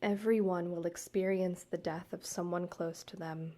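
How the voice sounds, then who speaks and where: A woman guiding a meditation, speaking to the listener in a soothing, measured way with deliberate pauses. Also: lowish voice, steady tone, dark tone. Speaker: guided meditation host, counselor and yoga teacher. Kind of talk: gentle guided meditation narration, addressed to the listener.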